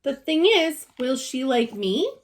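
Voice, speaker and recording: A woman's voice: sits high, sing-song, slow pace, heavily acted. A woman voicing a polite, earnest young male bear from a children's storybook. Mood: polite, unsure.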